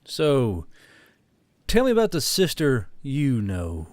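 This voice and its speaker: A man's voice: mid-range voice, wildly swooping pitch, slow pace, darkish tone, no room sound. A man voicing a male military leader, wry, casual and courteous, in an audiobook story. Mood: courteous, inviting.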